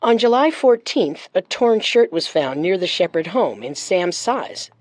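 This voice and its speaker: Woman, lower pitch, dry studio sound, scripted true-crime host narration. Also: sing-song, measured pace, a little mellow, no echo.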